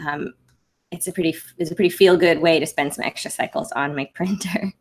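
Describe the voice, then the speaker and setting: A young adult woman hosting a casual maker livestream, speaking softly with lots of ums and likes. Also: brisk. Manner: rambling, full of ums and likes; speaks softly and higher-pitched.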